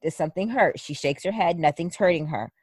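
A young woman co-hosting a podcast in casual chat, animated and blunt, cursing freely. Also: on the low side, brisk, husky, no echo, clean studio sound. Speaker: podcast co-host.

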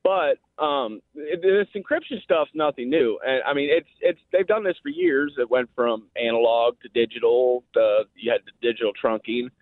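A man's voice: mid-range voice, moderate pace, few pauses, dry recording. A Midwestern American man phoning in to a radio show, explaining his point in colloquial, folksy speech. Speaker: radio phone-in caller. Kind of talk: informal, explaining and arguing a point. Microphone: telephone. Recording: thin, band-limited phone line.

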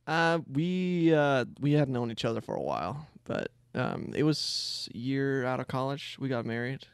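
A man's voice: mid-range voice, animated, normal speed, vocal fry, smooth, no echo, clean studio sound. A man, a golfer guest, answering plainly and modestly in a casual interview.

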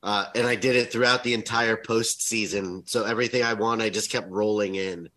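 Brief: male podcast co-host, stuffy nose, raspy, relaxed conversational delivery. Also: on the low side, fairly quick, poor audio. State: congested, raspy from covid. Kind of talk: casual podcast chat.

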